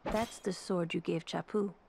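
A woman's voice: on the low side, steady tone, measured pace, gravelly, warm tone, slight background noise. An actress playing a stern, cool young woman mage in a video game, clipped and severe. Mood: cool, firm. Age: young adult. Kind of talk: video game cutscene acting.

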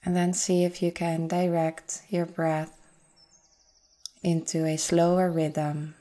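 A woman leading a guided meditation in a soothing, gentle, unhurried manner with long pauses. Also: lowish voice, level tone. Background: forest nature sounds with birds.

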